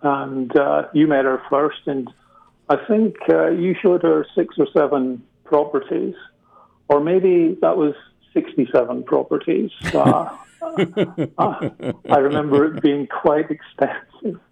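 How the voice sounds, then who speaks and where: A man's voice: on the high side, slow, gravelly. A Scottish man, a guest in a casual interview, talking with many hesitant fillers over a remote call. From Scotland. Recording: tinny phone-like remote line.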